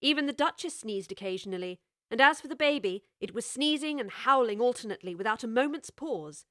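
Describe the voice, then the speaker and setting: A woman narrating a storybook with clear, expressive scripted storytelling. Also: fairly high pitch, a little fast, no room sound, clean studio sound.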